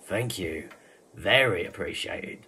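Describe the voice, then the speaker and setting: A man's voice: sits low, animated, slow pace, bright, forceful tone, husky, exaggerated voice. A man playing a wry, grumbling adventurer with a casual manner in an audio drama. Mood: nervy, wry. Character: jittery, grumbling male adventurer fond of drink. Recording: faint background noise and ambience.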